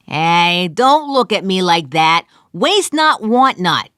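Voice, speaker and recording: A woman's voice: lowish voice, sing-song, slow, sharp tone, no room sound, studio quality, heavily acted. A woman playing a bossy, wry, battle-worn archer in a dramatized tabletop fantasy adventure. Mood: brusque, wry.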